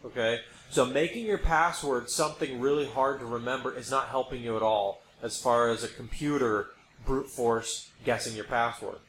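A man's voice: medium pitch, normal speed, a bit bright. A man hosting a podcast, explaining his opinions casually and emphatically, repeating points for effect. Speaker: podcast host. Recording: roomy echo, background noise.

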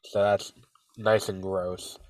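A man's voice: low voice, flat delivery, slow, no room sound, low-quality recording. A man with a stuffy, nasal voice from a cold, co-hosting a podcast in casual chat, with lots of fillers and restarts. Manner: rambling, hesitant, many fillers and restarts. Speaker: podcast co-host.

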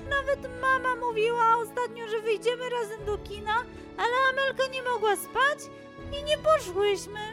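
Squeaky, emotional little girl monkey. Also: normal speed, pushed and bright, faint music behind. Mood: hurt, jealous. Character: upset, jealous, energetic little girl monkey, big sister. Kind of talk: children's storybook acting.

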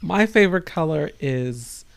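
A woman with a low-pitched voice chats casually as a podcast host. Her voice is raspy and worn out. She rambles and pauses often as she talks. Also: lively intonation. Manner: rambling, halting, with frequent pauses. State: tired voice.

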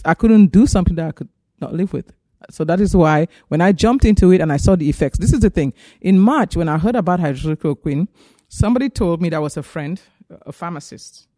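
A man's voice: on the high side, expressive, a little fast, dark tone, no echo, studio quality. A man from Cameroon, a physician guest, giving earnest, emphatic testimony in an interview.

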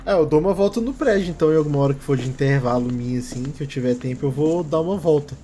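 A man's voice: fairly high pitch, fast talker, dark tone, slight background noise. A man with a Brazilian accent playing a tabletop RPG, improvising casually in colloquial, repetitive phrases. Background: faint music.

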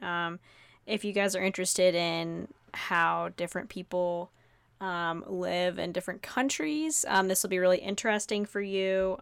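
A woman's voice: fairly low pitch, vocal fry, clear, resonant tone, dry recording, studio quality. A woman interviewing on a casual podcast in an informal, chatty, encouraging way.

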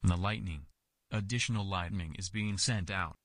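An actor playing a grave, hesitant man in audio fiction, speaking in a measured, careful way. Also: low-pitched, a bit bright, dry recording, studio quality. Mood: grave, emphatic. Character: Jarvik, grave, hesitant, deliberate male character. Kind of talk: audio fiction character dialogue.

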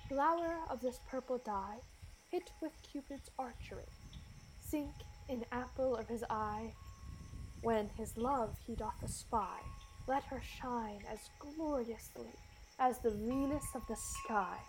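Woman doing a bossy magical fairy king, highish voice, really slow stately shakespeare verse for radio. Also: a little hiss or hum, rough recording. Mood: hushed, incantatory. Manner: stately, declamatory, measured verse delivery. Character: commanding, spell-casting male king of the fairies.

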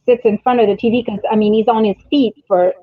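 A middle-aged woman chatting casually in an animated, storytelling way, with thin phone-like audio. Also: a little high, fast talker, slightly rough, no room sound. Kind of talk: casual chat.

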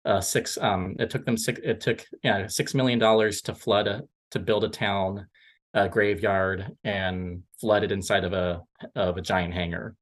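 A man co-hosting a film podcast, chatting casually in a halting, rambling way and often correcting himself. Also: lowish voice, fairly even intonation.

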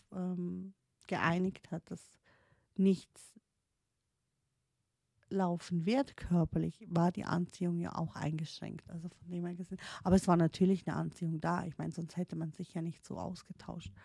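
Hesitant Swiss-accented woman, low gravelly voice. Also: flat delivery, a little slow, warm tone, dry recording, clean studio sound. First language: not German. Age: 30s. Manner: hesitant, many fillers and restarts, thinking aloud. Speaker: streamer. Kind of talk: reflective personal storytelling.

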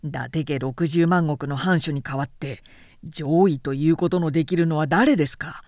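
A woman voicing an outspoken, indignant samurai man in a period-novel audiobook, forceful and insistent in formal speech. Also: deep voice, normal speed, dry recording, clean studio sound. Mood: pressing, challenging.

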